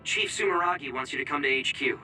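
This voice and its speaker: An actor playing a frank, friendly young comrade in a video game, casual and plain-spoken. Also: male voice, medium pitch, fast, pushed and bright, soft background music, low-quality recording. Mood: concerned. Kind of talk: video game cutscene voice acting.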